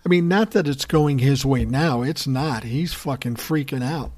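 A man's voice: medium pitch, moderate pace, a little mellow. Blunt, profane political podcast man. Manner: blunt, profane, conversational with fillers. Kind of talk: opinionated commentary reading listener messages.

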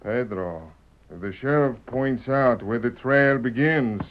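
A man's voice: medium pitch, slow pace, natural voice. Guy doing a smug bandit boss in an old radio western, sardonic and bossy, muffled sound. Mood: scheming, commanding. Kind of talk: old-time radio western villain acting. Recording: dull vintage radio recording.

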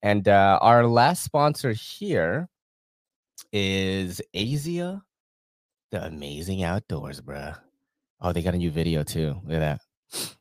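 A man's voice: low-pitched, takes it slowly, long pauses, no room sound, studio quality. A man hosting a live podcast, casually reading sponsor ads with slang, cursing and laughter. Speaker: podcast host.

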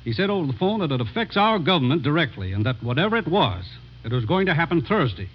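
An actor playing a brisk, earnest government commissioner briefing his agent in a 1950s radio drama. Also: a little high, sing-song, breathy edge. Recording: muffled vintage radio recording.

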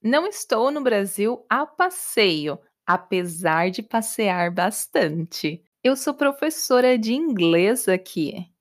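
Woman doing an Irish English teacher, patient, slow, repetitive, lively pitch. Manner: slow, repetitive, carefully clear answers.

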